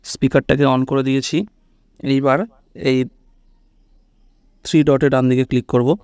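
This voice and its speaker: A man's voice: medium pitch, steady tone, unhurried, darkish tone, clear, resonant tone, dry recording. A man hosts a casual step-by-step phone tutorial, instructing and often checking that the listener follows. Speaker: tech tutorial host.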